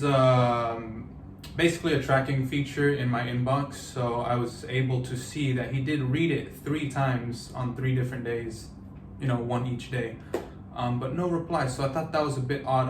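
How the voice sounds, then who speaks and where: Halting, flat-voiced man in his thirties. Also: mid-range voice, slight background noise. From United States. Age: 30s. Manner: halting, reflective, many fillers and restarts. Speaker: vlogger. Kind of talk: personal vlog monologue.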